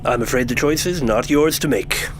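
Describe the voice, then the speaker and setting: A man's voice: mid-range voice, moderate pace, background noise, lightly acted. A man playing a reserved, coolly controlling professor in an audio drama. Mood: coolly menacing.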